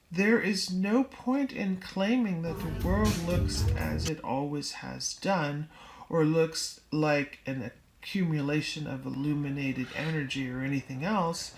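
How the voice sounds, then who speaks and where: A woman reading a book aloud as scripted narration, in deliberate, measured phrases with pauses. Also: sits low, a little hiss or hum, poor audio. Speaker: audiobook reader.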